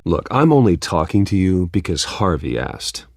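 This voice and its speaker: An actor playing a guarded, stern young billionaire in a video game, speaking in a terse, dry, skeptical way. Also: male voice, sits low, very animated, normal speed, creaky, darkish tone, dry recording, exaggerated voice. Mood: cool, firm.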